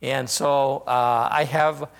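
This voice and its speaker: A man from northern Mexico with a Mexican Spanish accent, a visiting missionary preaching a sermon, halting often with fillers and restarts. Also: mid-range voice, fairly even intonation, gravelly, big-room sound.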